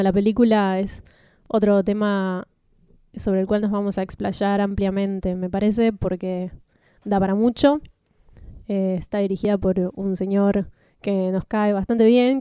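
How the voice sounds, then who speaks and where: An Argentine woman co-hosting a podcast, talking in a relaxed, explanatory way. Her voice is mellow and smooth. The recording is dry and close. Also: mid-range voice, no echo. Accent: Rioplatense Spanish. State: slight cough. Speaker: podcast co-host. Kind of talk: casual podcast chat.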